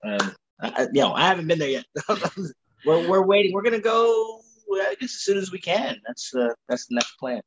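A man's voice: sits high, big pitch swings, background noise. Jovial man with slightly dull lo-fi audio. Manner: jovial, repeats himself, quick to chuckle. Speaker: podcast guest, actor. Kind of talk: casual chat.

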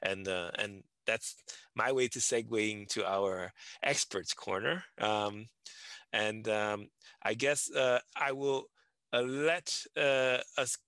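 A man co-hosting a podcast, talking haltingly with many "uh"s and tag questions. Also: mid-range voice, bright, forceful tone, no echo. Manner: halting, filled with "uh" and "right?". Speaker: podcast co-host.

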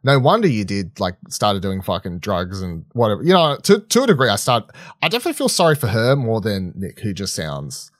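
A man co-hosting a podcast, chatting casually and swearing freely, with a halting, rambling way of talking that often restarts mid-sentence. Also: fairly low pitch, wildly swooping pitch, no echo, clean studio sound. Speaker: podcast co-host reviewing film and TV. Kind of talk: casual unscripted chat.

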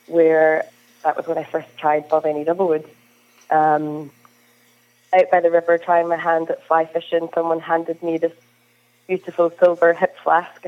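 A young Scottish woman from the Hebrides, a whisky brand ambassador, chatting as an interview guest with frequent "um"s. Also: deep voice. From Scotland, Isle of Lewis. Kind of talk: conversational interview answers. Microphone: phone call. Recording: tinny phone line.

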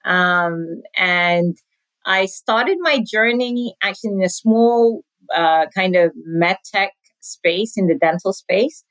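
A woman's voice: medium pitch, slow, fairly bright tone, low-quality recording. A woman in her forties, from Sudan with a British accent, chatting as a podcast guest and laughing often. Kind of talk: conversational interview.

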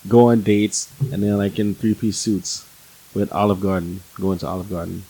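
A man's voice: lowish voice, level tone, moderate pace, dark tone, husky, poor audio. A man in his forties chatting casually as a podcast co-host. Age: 40s. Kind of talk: casual chat.

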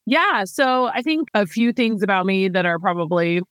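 A woman's voice: medium pitch, moderate pace, dry recording, studio quality. Chatty woman founder with many fillers. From grew up in Thailand, then the US. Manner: relaxed, rambling, many fillers like "you know" and "like". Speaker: company founder, guest. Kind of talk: casual interview chat.

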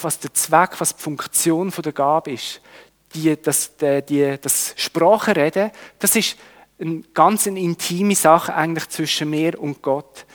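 Swiss man preaching in a reverberant hall. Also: fairly high pitch. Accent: Swiss German. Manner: conversational, with tag questions and restarts. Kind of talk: Bible study teaching.

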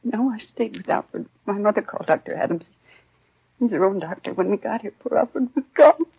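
An actress playing a shaken, devoted American housewife just widowed, speaking politely in short sentences in a 1950s radio drama. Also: female voice, medium pitch, animated, a little fast, heavily acted. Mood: distraught. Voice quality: husky. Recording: dull 1950s radio recording.

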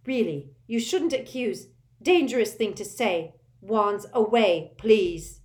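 A flustered older witch shopkeeper from a storybook, her voice climbing high and fluttery. She frets and pleads in a rush, as a woman reader acts her out. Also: animated, unhurried.

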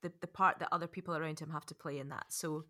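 A woman's voice: low voice, steady tone, fast talker, sharp tone. A middle-aged woman hosting a podcast conversationally, reflecting tentatively and repeating words as she goes. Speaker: podcast host.

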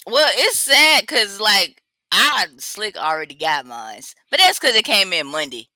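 A woman's voice: medium pitch, sing-song, a little slow, sharp tone, no echo. A woman co-hosting a podcast in casual chat, laughing often and teasing. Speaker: podcast co-host.

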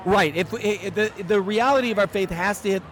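Guest, male, 40s, high voice, animated and emphatic, recorded outdoors with clear background noise. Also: brisk. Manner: animated, emphatic, self-deprecating humor. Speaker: guest speaker. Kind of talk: casual podcast interview.

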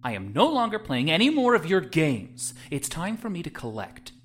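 A man's voice: a little high, wildly swooping pitch, normal speed, a little hiss or hum. A man playing a hot-headed, sarcastic young space adventurer in an audio drama. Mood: threatening.

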